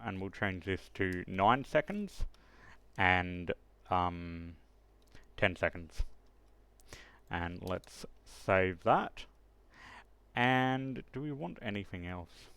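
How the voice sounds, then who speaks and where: A man with a fairly low, gravelly voice walks through a software tutorial step by step. He thinks aloud as he explains each setting in an unscripted, instructional way. The recording is close and dry. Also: no echo. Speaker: tutorial host.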